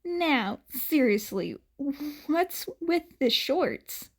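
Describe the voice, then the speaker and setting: A woman doing a laid-back grown man hero, casual, a little higher than her narration, fan audiobook. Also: expressive, drawn-out words, exaggerated voice. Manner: casual, easygoing. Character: laid-back adult male hero, curious. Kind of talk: fanfiction audiobook dialogue.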